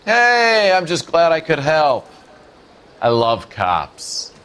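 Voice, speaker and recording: Shifty, wildly swooping crime suspect. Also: male voice, a little high, huge pitch swings, takes it slowly, a bit bright, clean tone, exaggerated voice. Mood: sarcastic. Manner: slangy, evasive. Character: shifty, wisecracking male suspect squirming under questioning. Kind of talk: dramatic video game acting.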